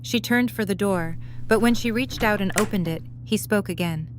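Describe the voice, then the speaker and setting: A woman's voice: medium pitch, moderate pace. A woman reading scripted story narration as the narrator of an audio drama. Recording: faint background hum and noise.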